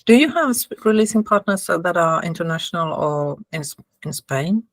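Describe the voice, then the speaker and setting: A woman with a Finnish accent, a podcast host asking questions gently in a casual interview. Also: fairly low pitch, animated, brisk, no room sound, studio quality. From Finland.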